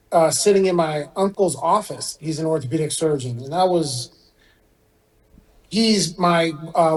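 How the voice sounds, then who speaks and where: Higher-voiced middle-aged man, non-native English speaker. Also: a little mellow, poor audio. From born in Iran, grew up in Southern California. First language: a language other than English. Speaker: guest surgeon. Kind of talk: conversational interview answers.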